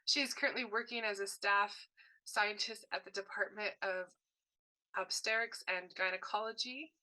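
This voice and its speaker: A woman with a bright, forward voice interprets a signed workshop presentation aloud. She speaks in short bursts and rephrases as she goes, correcting words partway through. Her pitch sits in an ordinary middle range. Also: steady tone, moderate pace, low-quality recording. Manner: short broken phrases with frequent self-corrections. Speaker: sign language voice interpreter.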